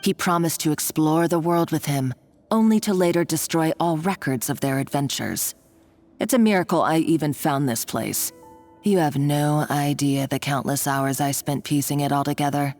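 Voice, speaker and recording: A woman's voice: deep voice, normal speed, a little mellow, soft background music. A woman playing a domineering, gloating female villain in a video game, speaking with taunting condescension. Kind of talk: anime-style video game acting.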